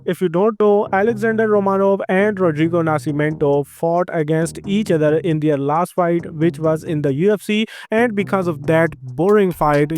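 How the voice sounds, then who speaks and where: A man with an Indian accent hosting a fight sports news roundup, delivering scripted highlights briskly. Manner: brisk, energetic delivery with frequent filler transitions. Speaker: host of a combat sports news channel. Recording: faint music behind the voice.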